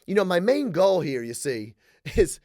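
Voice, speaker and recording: Guy in his 30s ranting on his podcast, high-ish voice, sarcastic, super dry close sound. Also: no echo. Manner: animated, sarcastic, quick to joke. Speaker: podcast host. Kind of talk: casual solo podcast rant. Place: small room with wood-plank wall.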